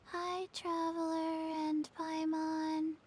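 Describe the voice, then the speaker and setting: A woman voicing a small, deadpan undead girl in a video game, halting and blank. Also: very high-pitched, full character voice. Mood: blank, calm. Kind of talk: English video game voice acting. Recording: soft music behind the voice.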